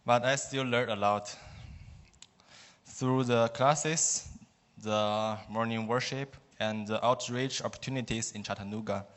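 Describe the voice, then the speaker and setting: A young Chinese-accented man shares his testimony slowly and carefully, pausing often as he searches for his English words. His voice has a bright, clear edge. It rings out in a hall with a long reverb. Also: mid-range voice, steady tone. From China. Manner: halting, careful non-native English with pauses. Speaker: student giving a testimony. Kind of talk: personal testimony speech.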